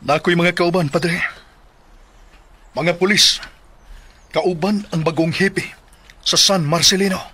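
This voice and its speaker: An actor playing an earnest, righteous young police lieutenant in a radio drama, declaiming emphatically with a Filipino accent. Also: male voice, sits high, steady tone, slow, long pauses, bright, forceful tone, husky, exaggerated voice. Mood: firm, resolute. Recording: music behind the voice.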